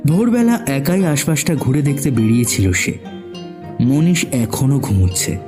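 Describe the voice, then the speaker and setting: A man's voice: mid-range voice, expressive, moderate pace, music in the background. A man narrating a scripted suspense story as the narrator of an audio drama.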